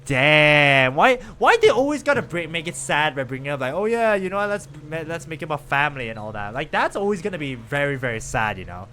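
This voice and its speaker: Guy streaming a game, chatty, kinda high voice that jumps all over, little bit of hiss behind. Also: a little fast, hardly any pauses. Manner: chatty, animated, lively reactions while playing. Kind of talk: casual live-stream commentary.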